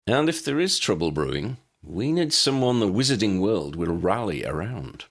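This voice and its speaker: A loyal wizard friend from a storybook , read by a man. He sounds plain-spoken and sincere in a low, creaky voice with lively stresses. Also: normal speed. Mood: supportive. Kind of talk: storybook character acting.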